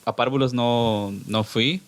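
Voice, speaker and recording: A young Guatemalan man talks in an easy, informal way as a podcast guest. He sounds nervous and laughs a lot, joking at his own expense. His voice sits at an ordinary middle pitch for a man. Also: steady tone, takes it slowly, clear, resonant tone. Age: 20s. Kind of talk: casual conversational interview.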